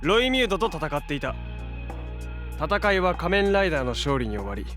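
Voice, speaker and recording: A cocky young hero in an audio drama. His voice sits fairly high and swings freely, with casual, slangy phrasing and faint music beneath. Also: big pitch swings, a little fast, fairly bright tone. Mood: solemn, proud. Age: young adult. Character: brash, casual young male hero and photographer.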